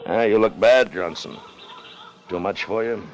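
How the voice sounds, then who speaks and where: An actor playing a gruff sea captain driven mad by gold and fever, with harsh barking lines in 1940s radio acting. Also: male voice, lowish voice, unhurried. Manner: gruff, turning to manic shouting. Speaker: narrator and lead.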